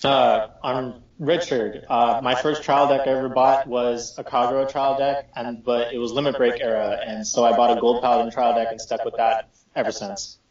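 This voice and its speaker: Young man in his twenties, relaxed slangy group talk; roomy echo, distant dull mic. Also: mid-range voice, normal speed, hardly any pauses. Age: 20s. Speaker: co-host. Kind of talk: casual group chat. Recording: echoey room, distant mic, dull sound.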